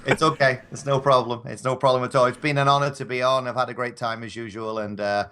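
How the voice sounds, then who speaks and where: A man's voice: mid-range voice, level tone, fast talker, bright, forceful tone, smooth. A man chatting casually as a podcast guest, polite and hesitant, often restarting his phrases. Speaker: podcast guest, audio drama performer. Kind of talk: casual chat.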